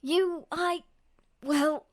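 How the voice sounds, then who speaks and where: A woman's voice: sits high, steady tone, drawn-out words, dry recording, clean studio sound, voice pushed up. A woman voicing a brash, hot-tempered young man, blurting his words emphatically in an audiobook. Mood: indignant. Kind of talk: audiobook character voice.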